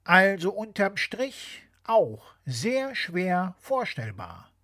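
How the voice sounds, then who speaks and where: A woman's voice: mid-range voice, big pitch swings, very slow, fairly bright tone. A woman co-presenting a scripted explainer dialogue.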